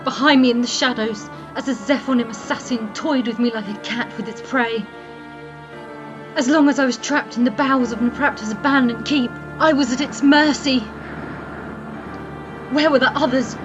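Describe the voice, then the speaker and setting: A woman playing a trapped human alchemist, narrating her own ordeal gravely and theatrically in a fantasy audio drama. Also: high-pitched, normal speed, natural voice. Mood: fearful, tense. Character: female human alchemist, trapped, wary and determined survivor. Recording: dull lo-fi sound, music underneath. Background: music.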